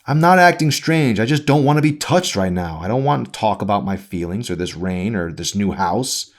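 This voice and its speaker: A man's voice: on the low side, expressive, moderate pace, voice pushed up. A man voicing a guarded, sullen teenage girl in an audiobook, curt and sarcastic. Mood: irritated. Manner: sulky, curt, dismissive.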